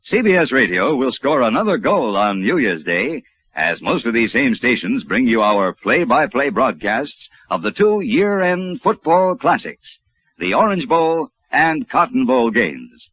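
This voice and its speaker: A man announcing in formal, emphatic 1950s radio style, with a slightly husky voice. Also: medium pitch, big pitch swings, unhurried, no echo. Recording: dull vintage radio recording.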